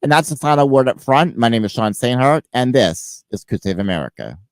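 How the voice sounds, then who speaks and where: A man's voice: mid-range voice, expressive, brisk, dry recording, clean studio sound. A man hosting a talk show, delivering an emphatic scripted monologue, then chatting casually with a guest while stuffed up from a cold. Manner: emphatic, list-like delivery with swings in level. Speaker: podcast host.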